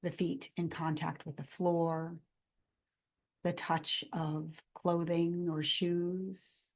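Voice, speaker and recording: A woman guiding a body scan meditation in a gentle, calm, instructional manner, in measured phrases with pauses between them. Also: lowish voice. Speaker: meditation guide.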